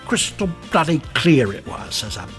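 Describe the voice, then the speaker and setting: Bitter British confessor over music. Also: male voice, fairly high pitch, very animated, moderate pace, fairly bright tone. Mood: cold, confiding. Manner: rambling, coarse, darkly matter-of-fact. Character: bitter, unravelling British photographer recounting his crime. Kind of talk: dramatic monologue in an audio drama. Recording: music under the voice.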